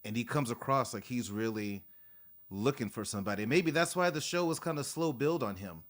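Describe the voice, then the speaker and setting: A man co-hosting a casual podcast, giving his opinions in a measured, thoughtful way. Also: mid-range voice. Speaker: podcast co-host. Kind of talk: casual recap chat.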